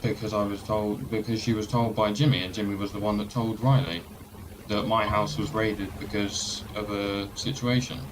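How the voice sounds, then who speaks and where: A man answering questions in a role-play court, slightly low voice, stopping and restarting often, over clear background noise. The sound is a noisy game voice chat. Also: little pitch movement, moderate pace. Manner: hesitant, many ums and restarts. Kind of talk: casual role-play testimony.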